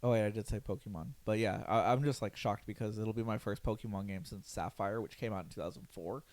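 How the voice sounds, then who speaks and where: A hoarse man hosting a podcast in loose, rambling casual chat, full of fillers and swearing. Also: on the low side, fast, a little mellow, no echo. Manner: rambling, loose and profane, with lots of fillers and laughs. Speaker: podcast host.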